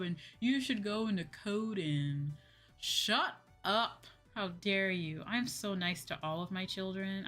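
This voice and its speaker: A woman's voice: medium pitch, fairly bright tone. A young woman streamer chatting casually on a livestream, rambling and repeating her phrases for emphasis. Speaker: VTuber streamer. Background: background music.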